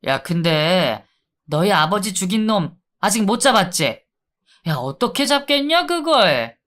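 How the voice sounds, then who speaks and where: A man voicing a sneering, wheedling male convict in drug withdrawal, who taunts and then begs with drawn-out sighs. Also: high-pitched, sing-song, a bit bright, full character voice. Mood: mocking.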